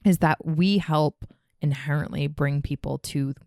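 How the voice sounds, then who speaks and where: A woman co-hosting a couples podcast, explaining her coaching work in a thoughtful, warm way. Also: deep voice, fairly even intonation, measured pace, no room sound, studio quality. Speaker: podcast co-host, relationship coach. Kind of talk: casual couples podcast chat.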